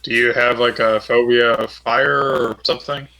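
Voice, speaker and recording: A man's voice: medium pitch, steady tone, normal speed, creaky, pushed and bright, low-quality recording. A man taking part in a tabletop role-playing game as a player, chatting casually.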